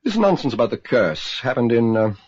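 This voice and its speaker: An actor playing a reassuring, sceptical gentleman, polite and understated, in a radio drama. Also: mid-range voice, very animated, a little fast, breathy edge. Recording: dull lo-fi old radio recording.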